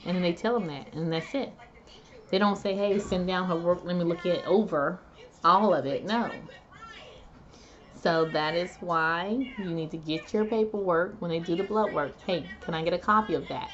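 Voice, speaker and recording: Chatty woman vlogging from home, lo-fi. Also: on the low side. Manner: chatty, homey, addresses viewers as guys. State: recovering voice after neck surgery. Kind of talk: casual talk to camera.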